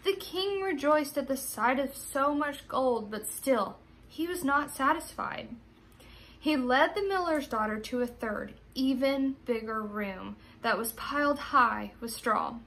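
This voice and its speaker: A young American woman with a high voice speaks as a teacher to children. Her delivery is expressive, in the manner of someone reading a storybook aloud. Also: a little slow, rough recording. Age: young adult.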